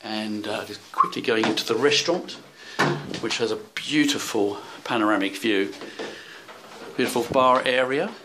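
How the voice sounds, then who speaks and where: A man's voice: lowish voice, moderate pace, heavy background noise. A man narrating a handheld walking tour of a seaside hotel, rambling with many fillers and restarts. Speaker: travel vlogger. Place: hotel rooms and seaside street. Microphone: handheld camera.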